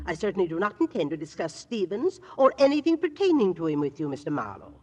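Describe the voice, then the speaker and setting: An actress playing a genteel, proud old widow, formal, prim and fluttery, in 1940s radio style. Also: female voice, lowish voice, big pitch swings, quick pace, a little mellow. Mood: affronted. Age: older.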